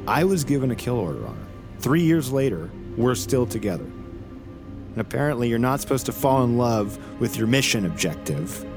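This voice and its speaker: An actor playing a jittery, wry American assassin on the run, narrating an audio drama in a halting, self-interrupting way. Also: male voice, mid-range voice, natural voice. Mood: regretful, wry. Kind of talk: audio drama acting and first-person narration.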